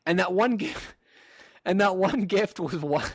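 A man's voice: sits high, lively intonation, measured pace, breathy edge. A young man in his twenties co-hosting a casual gaming podcast, rambling through stories with lots of fillers and laughter. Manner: rambling storyteller, laughs often, many fillers. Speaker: podcast co-host.

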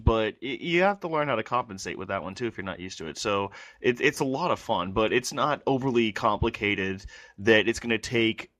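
A man's voice: lowish voice, a bit bright, no echo. A man co-hosting a casual gaming podcast who talks with enthusiasm, laughs easily and argues his point. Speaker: podcast co-host.

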